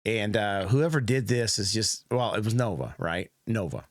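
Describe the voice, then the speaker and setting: A middle-aged man from the United States talks through his thoughts in a loose, unhurried way, full of ums and restarts. His voice sits on the low side. The sound is dry, with little room around it. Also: no room sound. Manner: rambling and reflective, many fillers and restarts. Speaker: reaction video host. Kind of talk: casual commentary.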